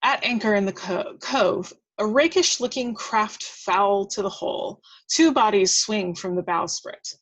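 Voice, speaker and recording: A woman's voice: medium pitch, lively intonation, unhurried, few pauses, poor audio. A woman reading scripted action descriptions aloud at a table read, now and then stumbling and correcting herself.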